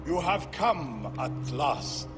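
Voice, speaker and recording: An actor playing a mystical seer priest in a video game, theatrical, incantatory and commanding. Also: male voice, on the high side, animated, extremely slow, music in the background. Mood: solemn, expectant. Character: mystical male seer priest chanting ancient rites. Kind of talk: video game cutscene acting.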